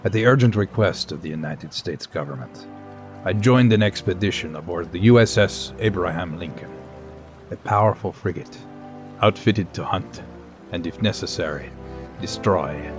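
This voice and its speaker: An actor playing a grave, brooding naturalist, narrating an audio drama in solemn, measured phrases with portentous pauses. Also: male voice, sits low, music in the background, close to an everyday voice.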